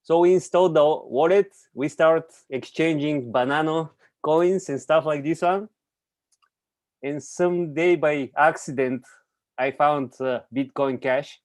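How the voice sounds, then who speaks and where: Man from Bulgaria, English, unhurried, dry close mic. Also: on the high side, darkish tone, dry recording. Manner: halting non-native phrasing with laughs. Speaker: meeting participant, programmer. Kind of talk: casual self-introduction on a call.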